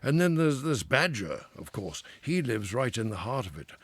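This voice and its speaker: A man's voice: mid-range voice, normal speed, breathy edge, no echo, pitched above the performer's own voice, heavily acted. A man doing a hearty, knowing water rat. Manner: loud, confident, lively, with chuckles.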